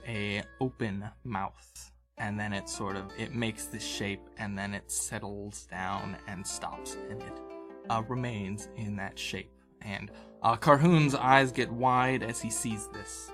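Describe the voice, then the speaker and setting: A man's voice: lowish voice, steady tone, slow. A man acting as game master, improvising tabletop game narration and searching for words as he goes. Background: background fantasy game music.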